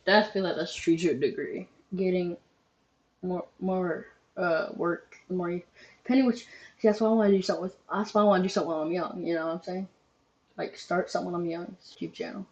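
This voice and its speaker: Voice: mid-range voice, steady tone, quick pace, darkish tone, highs cut off. Rambling young reaction host. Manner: rambling, loose, swears casually, many ums. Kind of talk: casual reaction commentary.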